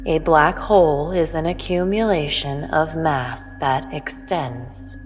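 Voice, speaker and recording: A woman playing a cryptic, all-knowing otherworldly being in a sci-fi audio drama, speaking in a measured, formal, superior way. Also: low-pitched. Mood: cool, dismissive. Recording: long reverb over music.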